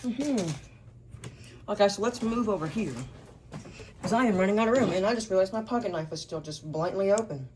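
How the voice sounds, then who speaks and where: A woman's voice: medium pitch, brisk, pauses often. A woman hosting a casual, unscripted unboxing video, muttering to herself and thinking aloud as she goes. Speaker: host of gadget unboxing video. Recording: noisy recording with clicks.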